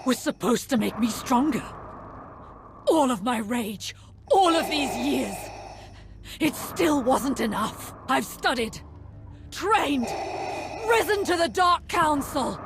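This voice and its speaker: An actress playing a proud, obsessive Sith rival in a video game, with an intense, theatrical delivery. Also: female voice, high voice, expressive, slow, long pauses, background noise, heavily acted. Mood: anguished rage. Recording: loud music under the voice.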